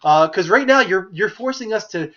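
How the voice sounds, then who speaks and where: Opinionated young man, slightly high voice. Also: animated, moderate pace, pushed and bright. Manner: opinionated, talks through news items, frequent fillers. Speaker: podcast host. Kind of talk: casual gaming news chat. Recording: muffled lo-fi livestream audio.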